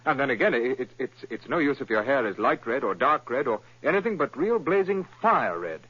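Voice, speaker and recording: An actor playing an eager, fawning young shop assistant who speaks deferentially and stammers in an old-time radio drama. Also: male voice, mid-range voice, sing-song, brisk, bright, forceful tone, husky, exaggerated voice. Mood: coaxing. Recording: dull lo-fi vintage radio recording.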